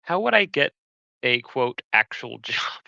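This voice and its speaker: Male tabletop player, sharp and creaky, casual game chat, slightly dull dry recording. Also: medium pitch, sing-song, measured pace, no room sound. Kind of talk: casual game chat, speaking for his character in third person.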